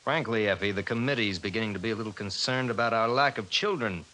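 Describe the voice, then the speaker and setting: An American actor playing a stern, status-obsessed husband who lectures impatiently in a 1950s radio drama. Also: male voice, on the low side, fast talker, pushed and bright, slight background noise. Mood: stern, condescending. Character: domineering, status-obsessed husband on a post-war committee.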